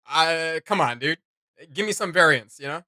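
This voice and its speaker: Bright, forceful man giving casual commentary. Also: fairly high pitch, animated, normal speed, dry recording, clean studio sound. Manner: emphatic, opinionated. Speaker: reaction video host.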